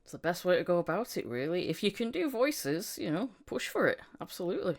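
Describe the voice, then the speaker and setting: A woman's voice: fairly low pitch, very animated, a little fast. A woman hosting a casual interview, talking in an encouraging, reflective way. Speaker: podcast host.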